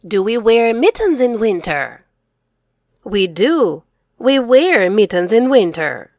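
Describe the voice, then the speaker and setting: A middle-aged woman reading aloud to children as an English teacher, enunciating each phrase clearly. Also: fairly high pitch, very animated, slow pace, darkish tone, no room sound, studio quality. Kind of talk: children's read-aloud lesson.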